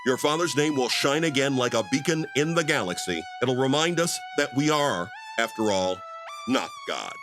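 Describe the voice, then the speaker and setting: A man acts out a stalwart space commander in a radio play. He is earnest and declamatory, with a medium-pitched voice over loud music. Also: animated, normal speed, close to an everyday voice. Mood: solemn. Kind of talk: old-time radio drama acting.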